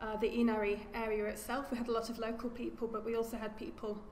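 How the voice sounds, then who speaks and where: A woman archaeologist giving an academic lecture at a lectern, speaking in a measured way and often repeating words as she corrects herself. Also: on the high side, level tone, a little mellow. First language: English. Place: lecture room. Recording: echoey lecture hall with faint hum.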